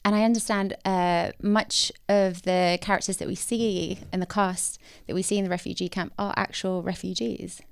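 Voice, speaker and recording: A woman's voice: mid-range voice, level tone, normal speed, gravelly, hardly any pauses, clear, resonant tone, dry recording. A woman playwright from London moderating a post-screening Q&A, gracious and effusive, with frequent ums. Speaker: playwright hosting a Q&A. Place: cinema auditorium.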